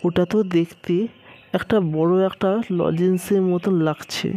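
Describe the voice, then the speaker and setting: A woman's voice: lowish voice, fairly even intonation, a little slow, warm tone. A woman voicing a naive, greedy, playful Moon character in a children's cartoon. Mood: curious, tempted. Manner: naive, exclaiming.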